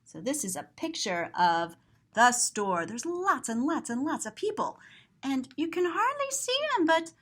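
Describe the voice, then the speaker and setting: A woman reading a children's story aloud and describing the pictures, expressive and explanatory. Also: high voice, measured pace.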